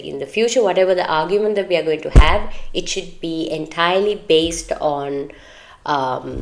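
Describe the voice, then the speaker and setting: A woman's voice: on the low side, darkish tone. A woman co-hosting a podcast, chatting candidly and earnestly about personal matters, in a talkative, advice-giving way. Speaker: podcast co-host.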